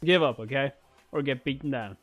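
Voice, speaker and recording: A man's voice: mid-range voice, brisk, fairly bright tone, highs cut off, faint music behind, pitched below the performer's own voice, close to an everyday voice. A man voicing a bratty, sleepy, boastful young martial-arts prodigy in an impatient, whining way for a webcomic read-along.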